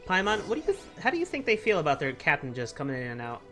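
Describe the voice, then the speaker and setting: A woman's voice: low voice, wildly swooping pitch, rapid-fire. A woman giving casual, chatty let's-play commentary as she reacts informally to a video game. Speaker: gaming streamer. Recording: loud game music underneath. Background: game music.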